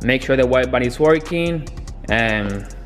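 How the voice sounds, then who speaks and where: A young man talking casually in a garage workshop, filming himself on a handheld camera, halting and restarting as he explains his car work. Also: mid-range voice, expressive, faint music behind. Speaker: car builder.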